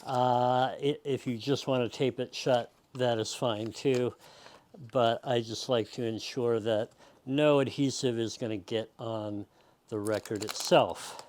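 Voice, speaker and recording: Slow-talking man giving a casual tutorial. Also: mid-range voice, steady tone, darkish tone, slight background noise. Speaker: demonstrator in a how-to video. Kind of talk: casual step-by-step tutorial talk.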